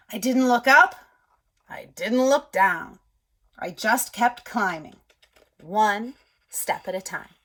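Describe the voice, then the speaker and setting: A woman voicing a timid but hopeful young male egg in a storybook, speaking softly and dropping to a whisper at scared moments. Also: fairly high pitch, heavily acted.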